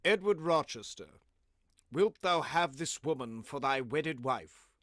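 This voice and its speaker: Formal wedding clergyman male actor, highish pitch, ceremonious radio drama delivery, close dry sound. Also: animated, a bit bright, no echo. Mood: solemn. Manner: formal, measured. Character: solemn, formal clergyman conducting a wedding, easily flustered. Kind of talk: period radio drama acting.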